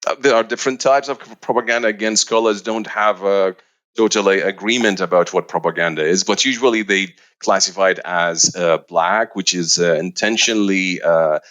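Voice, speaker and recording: A man's voice: low-pitched, normal speed, a bit bright. A middle-aged man from Iraq, a researcher answering interview questions with many fillers and restarts. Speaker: researcher guest.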